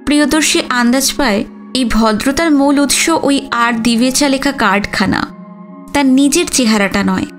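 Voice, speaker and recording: A woman's voice: sits high, normal speed. A woman reading a literary story as a dramatic audiobook narration. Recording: music under the voice. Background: background music.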